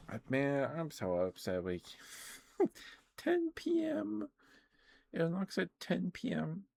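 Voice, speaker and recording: A man's voice: on the high side, huge pitch swings, very slow, creaky, long pauses, a little mellow, husky, no echo. A man chatting casually and hesitantly on an ASMR game livestream, musing and rambling as he goes. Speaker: live streamer, video game streamer.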